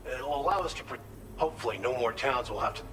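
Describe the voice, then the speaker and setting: A man's voice: mid-range voice, expressive, fast talker, noisy background, lightly acted. A man playing a friendly, grateful settlement worker in a video game, explaining things in an earnest, helpful way. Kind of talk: video game cutscene dialogue.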